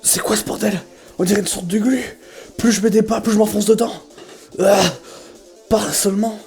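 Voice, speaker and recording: A man playing a frightened, bitter, proud young male creature in a fan comic dub, speaking in a dramatic, halting, stammering way. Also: high voice, fairly even intonation, gravelly, darkish tone, faint music behind. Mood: panicked.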